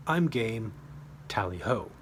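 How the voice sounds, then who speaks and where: A man's voice: lowish voice, expressive, slow pace, slight background noise. A man voicing a jaunty British First World War pilot from a storybook, breezy and full of casual bravado. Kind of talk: storybook character voice.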